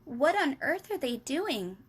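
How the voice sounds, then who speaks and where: A woman reading aloud and voicing Sophie, a curious, earnest young girl with a high, eager voice. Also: sing-song, takes it slowly, full character voice. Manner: eager, questioning, high and bright.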